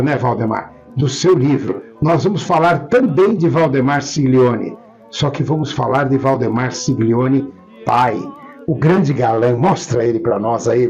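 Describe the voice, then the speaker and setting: A Brazilian man hosts an interview in a mid-pitched voice with faint music around it. He is casual and chatty, and likes to echo phrases for emphasis. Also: darkish tone. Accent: Brazilian Portuguese. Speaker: interviewer, professor.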